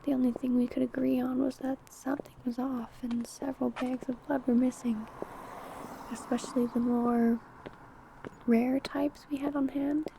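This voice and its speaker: A secretive vampire coworker, acted out by a woman in an ASMR roleplay. Her voice sits fairly high yet stays soft and mellow, with a creaky edge. She talks close and hushed, coy and sly, as if sharing gossip with you alone. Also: level tone, moderate pace, a little hiss or hum, low-quality recording. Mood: hushed, conspiratorial. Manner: hushed, chatty, coy, drifting into whispers. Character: secretive female vampire coworker, older senior, sly and possessive. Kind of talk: ASMR roleplay addressed to the listener.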